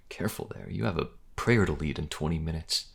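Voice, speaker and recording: Flirtatious fantasy priest played by a man, deep and rough-edged, playful and dry, for audio drama. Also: normal speed, heavily acted. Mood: teasing. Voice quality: husky, gravelly.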